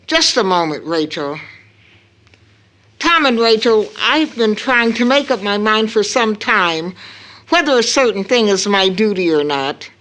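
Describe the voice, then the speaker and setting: Middle-aged mother for audio drama: grave, anguished, very unhurried, with wide emotional swings in pitch. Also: expressive, fairly bright tone. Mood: stern, solemn. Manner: solemn, deliberate, emphatic. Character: grave, anguished mother baring a long-kept family secret. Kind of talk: stage audio drama acting.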